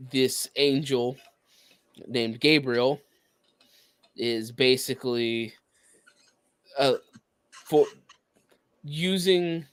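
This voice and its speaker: A man co-hosting a podcast, explaining things casually in a halting, searching way. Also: mid-range voice, smooth, no echo. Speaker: podcast co-host.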